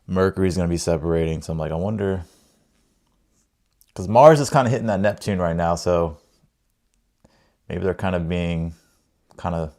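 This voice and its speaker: A man's voice: low voice, a little mellow. An American man hosting a tarot livestream, chatting informally and rambling, often repeating his phrases. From United States, grew up in Ohio and Texas. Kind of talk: casual livestream chat and card reading.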